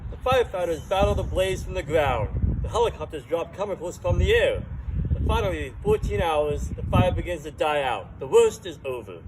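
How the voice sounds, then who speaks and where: A man's voice: a little high, animated, a little fast, background noise. A man narrating a children's story, reading it aloud. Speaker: firefighter reading a children's book.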